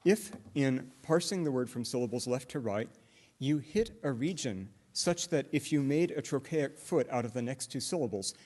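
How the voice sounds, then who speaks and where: A middle-aged man lecturing at a conference, explaining step by step and often pausing on "uh" fillers and restarts. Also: mid-range voice, lively intonation, a little mellow. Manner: hesitant, many "uh" fillers and restarts; explanatory, walks through examples step by step. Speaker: linguistics lecturer. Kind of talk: academic conference talk.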